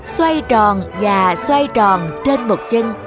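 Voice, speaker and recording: A woman's voice: a little high, expressive, takes it slowly. A woman narrator reading a scripted children's fairy tale as a storyteller. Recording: voice-over with music behind. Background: clear background music.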